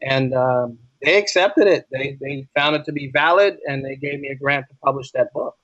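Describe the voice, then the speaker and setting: A man's voice: medium pitch, normal speed, fairly bright tone, no room sound, dull audio. A man talking as an interview guest, explaining things conversationally and in anecdotes. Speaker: podcast guest, ESL teacher. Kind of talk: casual interview chat.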